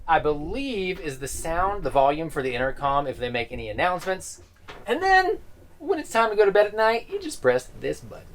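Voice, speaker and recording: Lively wry man touring a train cabin. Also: a little high, slight background noise. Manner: dry joking asides. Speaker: travel vlogger. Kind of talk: casual tour narration. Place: small sleeper train cabin.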